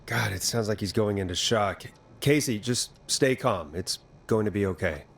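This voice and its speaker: Earnest, low-voiced radio DJ. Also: male voice, normal speed, creaky, slight background noise. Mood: reassuring, concerned. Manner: steady, reassuring, urgent. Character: calm, earnest male 1980s radio DJ in crisis. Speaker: radio host. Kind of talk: dramatic horror game voice acting.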